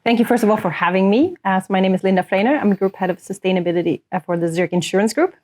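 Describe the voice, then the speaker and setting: A woman from northern Sweden whose native language is Swedish, in her thirties or early forties. She speaks at a medium pitch, in the earnest, explanatory way of an executive answering interview questions. Her delivery is conversational. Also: very fast, darkish tone. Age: 30s to early 40s. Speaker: corporate sustainability executive, interview guest.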